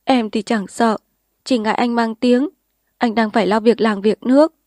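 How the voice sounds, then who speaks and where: A young village woman, high-voiced and close to tears, as a woman narrator voices her. She pleads and frets in a lifted voice. The sound is dry and close, like a storybook read aloud. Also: fairly even intonation, normal speed, a little mellow, dry recording, lightly acted. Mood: tearful. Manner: emotional, tearful.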